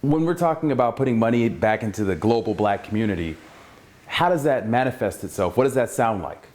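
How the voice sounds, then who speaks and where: A man's voice: medium pitch, lively intonation, a little fast, darkish tone. A male reporter delivers scripted TV news narration in a mid-range voice. There is faint noise behind him.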